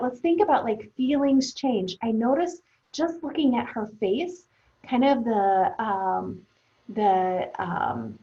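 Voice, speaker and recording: A woman teacher thinking aloud for young pupils in a conversational, expressive read-aloud lesson. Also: on the high side. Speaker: elementary teacher. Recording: roomy, echoing, dull lo-fi sound.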